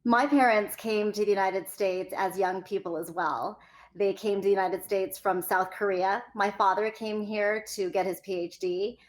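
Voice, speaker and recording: A woman from California leads a one-on-one conversation. Her voice is medium-pitched, and her delivery is polished and articulate. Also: fairly even intonation, moderate pace. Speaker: host. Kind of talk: conversational interview.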